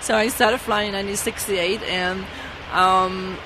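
A woman's voice: medium pitch, steady tone, a little slow, background noise. A woman from the Netherlands with a Dutch accent, a native Dutch speaker, telling stories from her flight attendant days and laughing often. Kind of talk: casual anecdote telling.

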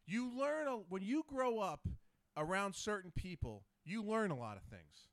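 A man in his forties hosts a relaxed podcast and talks in a loose, profane way, drifting into long stories. His pitch swings widely and sits slightly high. The sound is close and dry, with no room echo. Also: a little slow. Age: 40s. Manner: loose, profane, rambling storyteller. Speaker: podcast host. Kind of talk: casual podcast chat.

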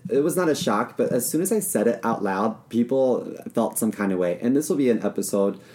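A man's voice: medium pitch, lively intonation, brisk, darkish tone. A young man co-hosting a podcast, chatting casually with playful teasing and dry quips. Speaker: podcast co-host. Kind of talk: casual chat.

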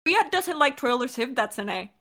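A woman's voice: sits high, very animated, fairly quick, sharp tone. A woman co-hosting a podcast, chatting casually in a giggly, playful way. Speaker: podcast co-host and writer. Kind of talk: casual Q&A chat.

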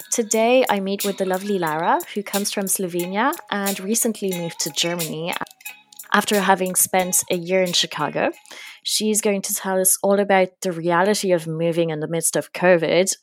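A woman hosts an interview podcast. Her voice sits a little lower than most women's. She moves between introductions and casual conversational questions. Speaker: podcast host.

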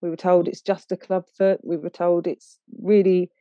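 A woman with an Essex English accent talking casually and a little haltingly as an interview guest. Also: lowish voice, level tone, no room sound, dull audio. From Essex, England. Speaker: podcast guest, charity founder.

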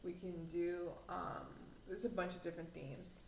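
A woman's voice: on the low side, fairly even intonation, moderate pace, vocal fry, slight background noise. Rambling woman in her late twenties. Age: late 20s. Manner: rambling, lots of fillers. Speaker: podcast co-host. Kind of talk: casual chat. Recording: echoey room, faint ambience.

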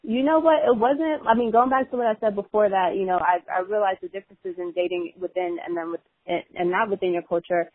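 A woman's voice: medium pitch, fast talker, hardly any pauses, no echo. A woman guest on a podcast, speaking thoughtfully with hesitant restarts. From Nigeria (family), raised in America. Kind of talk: casual chat. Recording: dry thin phone-like sound.

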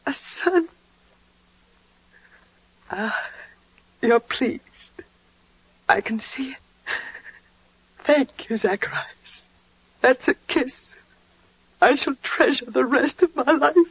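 An actress playing a devout, quietly joyful older biblical wife with reverent, deliberate delivery in a 1940s radio drama. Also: female voice, sits high, slightly rough, dry recording, full character voice. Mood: weary, moved. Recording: muffled, dry vintage 1940s radio recording.

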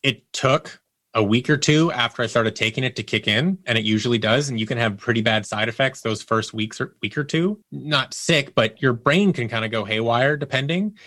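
A man's voice: mid-range voice, normal speed, a bit bright, dry recording, clean studio sound. A man speaking as an interview guest, candidly telling personal stories in a conversational way and acting out quotes as he goes.

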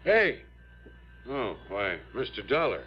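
A deep-voiced miner comes through a dull vintage radio recording. He talks haltingly and with guarded politeness, uneasy and defensive, his pitch moving freely in radio-play style. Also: very animated. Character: uneasy Old West town miner, defensive and wary. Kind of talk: 1950s-60s American radio drama acting. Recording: dull old radio recording, faint music.

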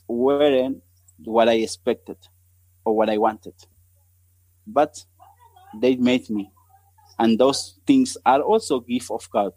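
A man in his thirties from Argentina, with an Argentine Spanish accent and Spanish as his first language, speaking haltingly as a missionary guest on a podcast. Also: mid-range voice, dark tone, no echo, low-quality recording. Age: 30s. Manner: halting, searching for words in English. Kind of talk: podcast interview.

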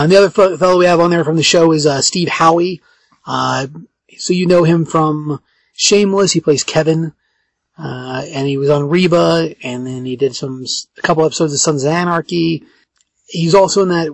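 A man with a raspy voice hosting a podcast in casual chat, halting and often correcting himself. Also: on the high side, no room sound. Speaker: podcast host.

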